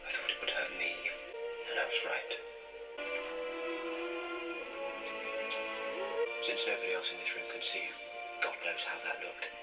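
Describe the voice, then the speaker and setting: Earnest eccentric hero, TV drama, hushed to urgent, hugely animated pitch, loud orchestral music, echoey playback. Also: male voice, quick pace, distant mic. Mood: pained, resolute. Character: intense, grieving eccentric male time-travelling hero. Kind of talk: TV drama acting.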